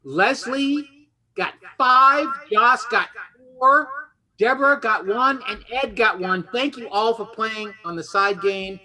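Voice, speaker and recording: A man hosting a casual game show, chatty and halting as he rephrases his explanations. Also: very high voice, bright, forceful tone, poor audio.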